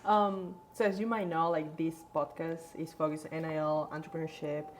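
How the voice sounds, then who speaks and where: A woman's voice: low voice, fairly even intonation, normal speed, a little hiss or hum. A woman co-hosting a podcast, asking questions in a casual, giggly and encouraging way with loose phrasing. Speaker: podcast co-host. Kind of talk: casual interview chat.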